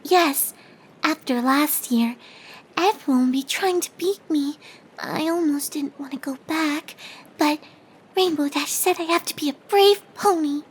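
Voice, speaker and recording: A woman voicing a timid, gentle cartoon pegasus pony, soft-spoken, hesitant and easily embarrassed. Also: high voice, a little slow, pitched above the performer's own voice, low-quality recording. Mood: relieved, bashful. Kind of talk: character voice in fan fiction reading.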